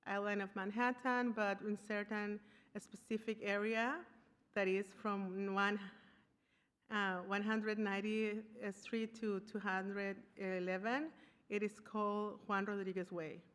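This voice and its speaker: Woman speaker from Costa Rica, conference presentation, halting and searching for words, long hall reverb. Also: mid-range voice, little pitch movement, a bit bright, smooth. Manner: searching for words, frequent restarts and fillers. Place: conference room.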